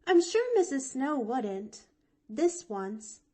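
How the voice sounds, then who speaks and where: Woman voicing a cheerful, talkative little girl. Lively, bright-toned pitch, unhurried, folksy drawl. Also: heavily acted. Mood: earnest. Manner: eager, chattering, folksy drawl. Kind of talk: audiobook reading.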